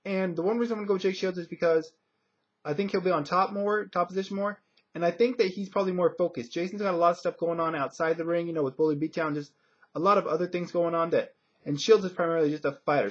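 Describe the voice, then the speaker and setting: A man's voice: fairly high pitch, steady tone, fast talker. A man giving casual sports predictions in a hesitant, conversational way, as a commentator would. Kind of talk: casual fight prediction talk. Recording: dull lo-fi audio.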